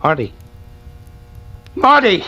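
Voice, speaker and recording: Anxious young cop, wildly animated. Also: male voice, high voice, slow, slightly rough, highs cut off, exaggerated voice. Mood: shocked, pleading. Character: anxious loyal younger cop, dying man's pal. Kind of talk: 1940s radio drama acting. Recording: vintage radio broadcast, music behind.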